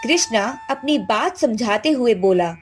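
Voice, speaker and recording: A woman's voice: a little high, animated, unhurried. A woman narrating a scripted cartoon story as the storyteller. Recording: faint background music.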